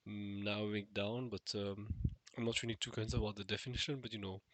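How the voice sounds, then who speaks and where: A man's voice: lowish voice, flat delivery, fast talker, a bit bright, dry recording. A man with a nasal, stuffed-nose voice musing alone to the camera in an unscripted vlog, hesitant, with many fillers and restarts. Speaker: vlogger. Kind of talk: unscripted solo monologue.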